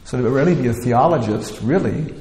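Gravelly man lecturing in a roomy hall. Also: medium pitch, expressive, a little fast, warm tone. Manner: rambling, many "you know" fillers and restarts. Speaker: teacher leading a scripture class. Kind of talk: informal spiritual lecture. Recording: roomy hall with long echo, faint ambience.

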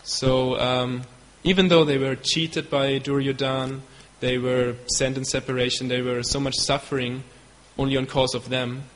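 A man from Germany with a German accent, a devotee giving a storytelling talk. He speaks haltingly and stops to search for English words. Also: medium pitch, flat delivery, a bit bright. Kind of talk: storytelling lecture. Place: hall in Belgium. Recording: long hall reverb with faint ambience.

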